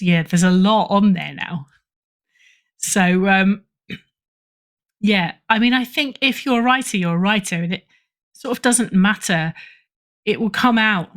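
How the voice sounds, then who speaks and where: A middle-aged woman speaks as a guest in a casual interview, with a voice of medium pitch. She is chatty and gently makes fun of herself, in an easy conversational flow. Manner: self-deprecating, chatty, chuckles through remarks. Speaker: podcast guest, writer and editor.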